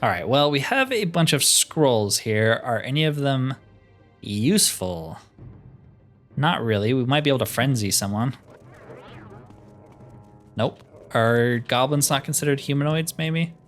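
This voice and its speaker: A man's voice: mid-range voice, expressive, unhurried, pauses often, fairly bright tone, soft background music. A man giving casual, wry commentary as he plays through a video game, often with dry humor. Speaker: gaming video host.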